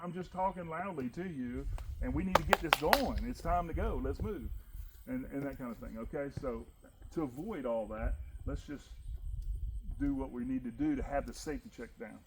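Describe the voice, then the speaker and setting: A man with a big, loud voice, leading an informal musket training session for his group and reading out the rules. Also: on the high side, moderate pace, background noise. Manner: loud, animated, talks with his hands in stories.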